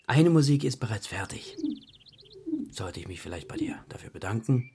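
A man playing a tired composer father, gruff but tender, with dry, laconic delivery in a German radio play for children. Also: medium pitch, sing-song, normal speed, dark tone, a little hiss or hum. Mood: wry.

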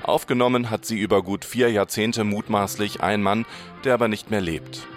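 Male reportage narrator, lowish voice, scripted, light music underneath. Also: fairly even intonation, moderate pace. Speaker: radio reporter.

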